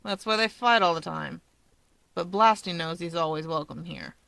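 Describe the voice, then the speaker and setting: A woman voicing a sardonic, lazy young man in a story, with a flat, dry drawl. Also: fairly low pitch, moderate pace, vocal fry, dry recording.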